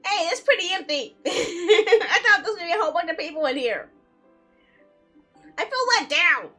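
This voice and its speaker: A woman's voice: very high voice, lively intonation, moderate pace, pushed and bright, soft background music. A woman streaming a visual novel, reacting playfully with giggly asides as she reads the story. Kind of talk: lively game stream commentary, reading story text aloud.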